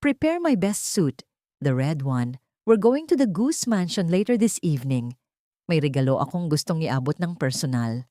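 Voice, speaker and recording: A woman's voice: low voice, very animated, normal speed, warm tone, no room sound, clean studio sound. A woman voicing a cold, commanding, betrayed wife and wealthy boss in an audio story, with a controlled, cutting manner.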